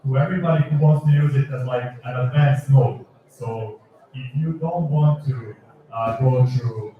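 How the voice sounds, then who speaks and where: A man's voice: medium pitch, unhurried, distant mic. Man in a very echoey, dull-sounding hall. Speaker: panelist. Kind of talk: unscripted panel discussion. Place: event hall panel with audience.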